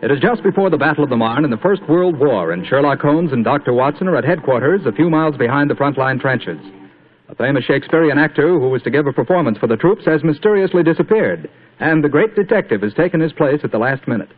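Animated American radio announcer, man. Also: a little high, quick pace, narrow, tinny audio. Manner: folksy, salesmanlike. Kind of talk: 1940s radio announcing and sponsor pitch. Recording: vintage 1940s radio broadcast.

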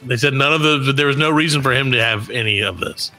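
A man's voice: medium pitch, quick pace, bright, forceful tone, soft background music, poor audio. A middle-aged man co-hosting a comedy podcast over a video call, reading odd news stories aloud in a joking, self-mocking way. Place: dim room at home.

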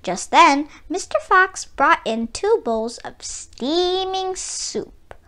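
High-pitched woman reading a storybook aloud. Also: animated, takes it slowly, sharp tone. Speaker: children's book read-aloud narrator. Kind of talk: expressive storybook read-aloud for children.

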